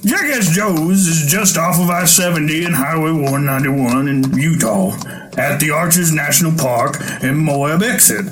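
A man's voice: a little high, measured pace, over music. A man with a folksy drawl, playing the cowboy lead in a radio ad skit. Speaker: ad voice actor.

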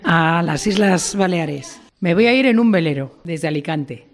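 A woman's voice: on the low side, animated, a little slow. A woman in her fifties from Spain, an airline captain speaking plainly as a radio guest.

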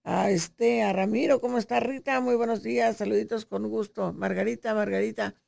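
A woman's voice: on the high side, steady tone, moderate pace, creaky, darkish tone, dry recording, clean studio sound. A woman hosting a radio show, sending chatty, playful greetings to listeners. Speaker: radio host.

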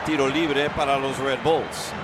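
A man's voice: mid-range voice, steady tone, a little slow. A man giving live play-by-play commentary on a soccer match. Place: open-air soccer stadium. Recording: noisy outdoor stadium broadcast. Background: crowd chants and stadium ambience.

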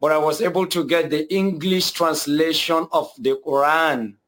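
Nigerian man, young, slightly high-pitched, earnest, halting, repeats words, personal testimony. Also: fairly even intonation, a bit bright. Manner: earnest, repeats himself, many hesitations. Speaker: guest telling his conversion testimony.

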